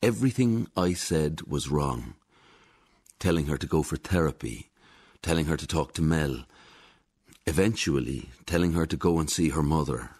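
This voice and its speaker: Very deep, husky ex-husband. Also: male voice, expressive, moderate pace, darkish tone, exaggerated voice. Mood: rueful, defeated. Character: defeated Irish ex-husband, rueful, exasperated. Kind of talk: radio drama monologue.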